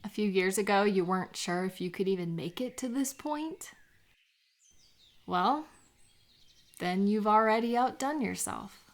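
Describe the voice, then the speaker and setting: A woman playing a supportive, affectionate best friend in a comfort audio roleplay, speaking gently and sincerely in an intimate, conversational way. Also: mid-range voice, lightly acted. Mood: tender, earnest. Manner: gentle, sincere, encouraging, unhurried.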